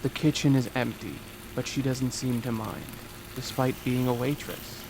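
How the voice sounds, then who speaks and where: A man narrates an action horror script in a dramatic read. His voice sits at an average pitch with plenty of gravel in it. Heavy ambient noise runs behind him throughout. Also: steady tone, normal speed. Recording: loud ambient rumble behind voice.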